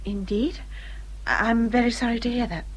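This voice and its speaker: Soft, breathy young English gentlewoman. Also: fairly high pitch, steady tone, moderate pace, lo-fi sound. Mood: shy, hesitant. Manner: polite, hesitant, restrained. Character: gentle, self-effacing young gentlewoman, quietly firm. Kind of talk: British period radio drama acting.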